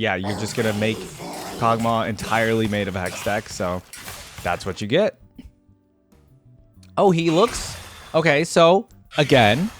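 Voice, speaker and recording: Lively, excitable man reacting on video. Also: lowish voice. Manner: chatty, excitable, thinks aloud with many fillers. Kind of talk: casual reaction commentary.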